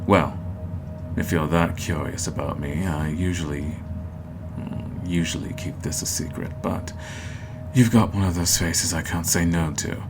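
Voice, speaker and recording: Over clearly audible music comes a man performing a flirtatious male god of love disguised as a matchmaker. His voice sits deep. He coaxes and teases at a slow, conversational pace, in the close style of a fantasy roleplay ASMR. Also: fairly even intonation. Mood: coy, flirty. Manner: teasing, coaxing, conversational. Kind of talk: intimate roleplay ASMR acting.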